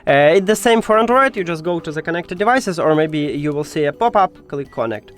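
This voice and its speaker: A man narrating a step-by-step tech tutorial in informal, slightly non-native English phrasing, pausing hesitantly as he explains. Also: on the high side, expressive.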